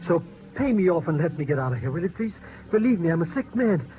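A man's voice: fairly high pitch, very animated, fast talker. An actor playing a whiny, cowardly sick man who frets and repeats himself, in 1950s radio drama style. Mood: pleading, whiny. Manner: whining, repeats himself in alarm. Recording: noisy, tinny 1950s radio recording.

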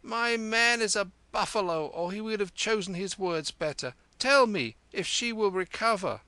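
Man voicing a village wife, shrill and very high, sharp-tongued, pleading, storybook. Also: expressive, moderate pace, clear, resonant tone, no room sound.

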